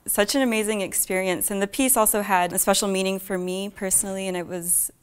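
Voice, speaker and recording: A young woman from the United States talking casually in an interview, soft-spoken, with light laughs and thoughtful pauses. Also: mid-range voice, steady tone, smooth. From Fairfax, Virginia. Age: young adult. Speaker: young artist pianist.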